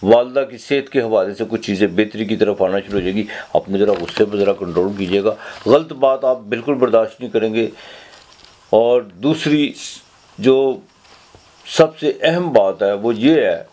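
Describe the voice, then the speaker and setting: A man's voice: fairly low pitch, normal speed, warm tone. A male astrologer giving an unscripted weekly horoscope, with frequent fillers and restarts.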